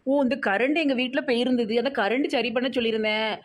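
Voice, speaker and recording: A woman's voice: fairly high pitch, fairly even intonation, fast talker, close to an everyday voice. A woman with a Tirunelveli Tamil accent voicing a boastful, dramatic young newly married village woman in a comedy cartoon. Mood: boastful, chatty. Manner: whiny, pleading, melodramatic.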